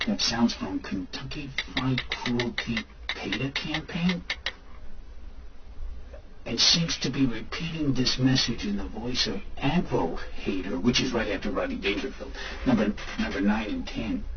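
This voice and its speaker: An actor playing a tech-savvy buddy in conversational amateur audio drama dialogue. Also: male voice, medium pitch, measured pace, natural voice. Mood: matter-of-fact. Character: tech-savvy buddy, a man riding along. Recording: dull, distant, noisy recording.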